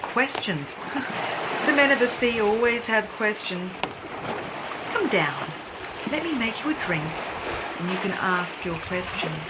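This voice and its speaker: Slow, languid mystery woman played by an actress. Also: medium pitch, lively intonation, close to an everyday voice. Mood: amused, inviting. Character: mysterious, serene, beautiful ghostly woman aboard ship. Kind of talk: audio drama acting. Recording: very loud water and boat noise.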